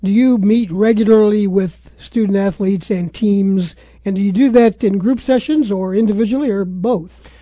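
Very dark, muffled-toned male radio host. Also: high-pitched, moderate pace, no room sound. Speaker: radio sports talk host. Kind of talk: casual interview questioning.

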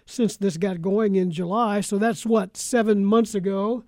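A man's voice: high-pitched, fairly even intonation, a little slow, darkish tone, no room sound, clean studio sound. A man hosting a sports talk radio show, interviewing in a casual, conversational way.

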